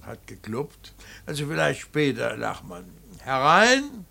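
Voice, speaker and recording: An actor playing a stern, devout older painter and father in a radio play, halting and brooding, then preaching with emphasis. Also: mid-range voice, sing-song, pushed and bright, heavily acted. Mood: hesitant. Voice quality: gravelly, husky. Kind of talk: German radio play acting.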